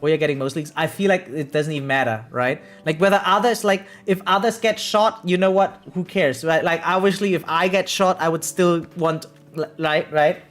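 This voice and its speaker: A livestreamer reacts casually over background music, switching between reading aloud and chatting. The voice sits at a middle pitch. The delivery is animated and exclamatory, with frequent "right?" check-ins. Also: moderate pace, few pauses. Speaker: variety streamer. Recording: music behind the voice.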